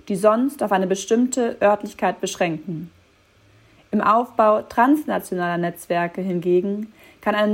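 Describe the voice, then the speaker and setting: A woman reading scripted documentary narration in a measured, formal manner. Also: medium pitch.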